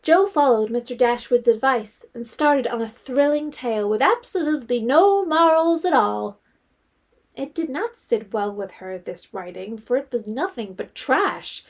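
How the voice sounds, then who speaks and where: A woman's voice: a little high, expressive, unhurried. A woman reading scripted storytelling narration as the narrator of a period audio drama.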